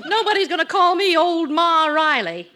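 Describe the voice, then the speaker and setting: A woman's voice: extremely high pitch, expressive, a little slow, sharp tone, slight background noise, heavily acted. An actress playing an exasperated, peppy American wife in her late thirties in a classic radio sitcom. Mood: indignant. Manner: exasperated, indignant.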